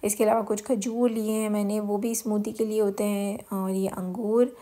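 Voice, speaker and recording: Pakistani lady chatting through a home vlog voiceover, drops english words in, pretty even tone, medium pitch. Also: normal speed. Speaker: home vlogger. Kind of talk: casual grocery haul voice-over.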